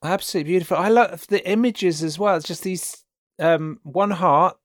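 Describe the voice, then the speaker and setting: A man hosts a relaxed conversation, speaking with eager encouragement and an easy laugh. His voice sits a touch high for a man, and the recording is dry and close, with no room sound. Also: normal speed, no echo. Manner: enthusiastic, encouraging, laughs easily. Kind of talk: casual discussion of worship songs.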